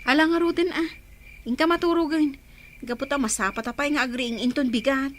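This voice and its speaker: A woman's voice: high-pitched, fairly quick, a little hiss or hum, close to an everyday voice. A woman with an Ilocano accent playing a caring older sister in a radio comedy-drama.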